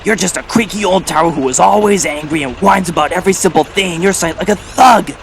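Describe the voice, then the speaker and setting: A man's voice: high-pitched, quick pace, vocal fry, slight background noise, voice pushed up. A man voicing a devious, cheeky male diesel engine in a children's story, sly and cowardly. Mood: smug, insulting. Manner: sarcastic when confident, whimpering when scared.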